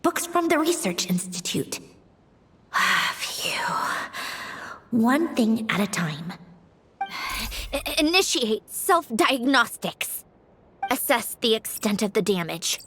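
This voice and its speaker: A woman's voice: fairly high pitch, sing-song, slow, pauses often, a bit bright, slight background noise, full character voice. A woman voicing a haughty, sardonic android genius in a video game, clipped and dramatic in delivery. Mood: bewildered, analytical. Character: haughty, sardonic female android genius, self-proclaimed brilliant. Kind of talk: scripted game voice acting.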